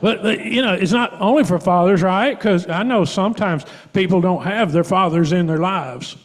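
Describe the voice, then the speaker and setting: An earnest, tender-hearted puppet, played by a man talks in a very high voice. The words come in hesitant, stammered repeats, ringing in a big reverberant hall, in children's puppet show style. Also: moderate pace, close to an everyday voice. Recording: long echoing hall reverb.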